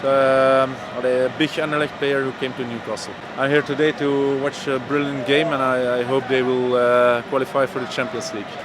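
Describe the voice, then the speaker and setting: A man from Belgium, speaking in a halting, friendly way about following football. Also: medium pitch, little pitch movement. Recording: street noise behind.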